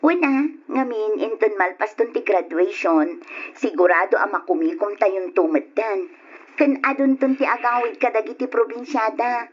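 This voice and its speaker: A woman's voice: mid-range voice, moderate pace, breathy edge, close to an everyday voice. An actress playing a cheerful, teasing female college student in an Ilocano radio drama, speaking with an Ilocano accent. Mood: cheerful, excited. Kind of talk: old radio drama acting. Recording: dull lo-fi radio drama recording.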